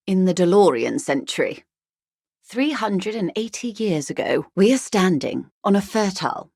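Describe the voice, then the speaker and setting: A refined upper-class woman of the world in a video game. Her voice sits low and is recorded close and dry. She speaks with gracious, measured eloquence and a wry, philosophical composure. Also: no room sound, full character voice. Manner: eloquent, unhurried, genteel, dryly philosophical. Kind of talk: video game dialogue, measured explanatory monologue.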